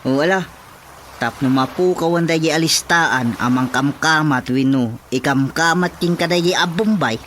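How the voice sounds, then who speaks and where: A man playing a flustered, indignant village councilor in an Ilocano radio comedy, excitable and exclamatory, with an Ilocano accent. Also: a little high, unhurried, a little hiss or hum. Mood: insistent.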